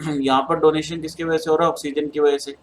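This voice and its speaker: A man's voice: on the high side, level tone, quick pace. Hindi-accented man teaching chemistry, sore throat. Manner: addresses students as beta, talks through steps. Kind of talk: exam solution lecture.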